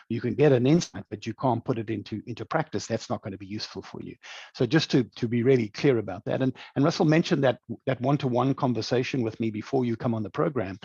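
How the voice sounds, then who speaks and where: A man's voice: mid-range voice, a little mellow, husky, no echo. A middle-aged man from South Africa with a South African accent, presenting a webinar as a program director in an earnest, emphatic way with many restarts, over a video call. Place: home or office video call. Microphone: video call mic. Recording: dull lo-fi audio, dry.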